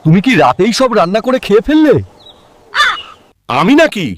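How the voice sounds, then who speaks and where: A man's voice: high-pitched, sing-song, moderate pace, background noise. A man playing an indignant, easily frightened husband in a comic cartoon, protesting and exclaiming.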